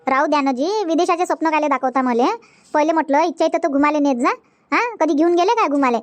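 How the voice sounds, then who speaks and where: A woman's voice: high voice, quick pace, no room sound, lightly acted. A woman voicing a chatty young newlywed wife in a comedy cartoon, who nags and repeats herself. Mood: pleading. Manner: repetitive, nagging, talks in a rush. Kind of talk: Marathi comedy cartoon dub.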